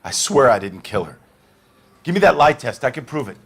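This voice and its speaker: A man playing an anxious, defensive working-class murder suspect in a crime video game, halting and pleading. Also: mid-range voice, sing-song, vocal fry, a bit bright, husky, exaggerated voice. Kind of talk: dramatic interrogation acting.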